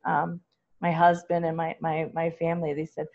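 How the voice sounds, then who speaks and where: A talkative woman with a loud voice, chatting as an interview guest and laughing often as she talks. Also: low voice, monotone, moderate pace, vocal fry, dull audio. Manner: chatty and laughing, with many restarts. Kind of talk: casual conversational interview.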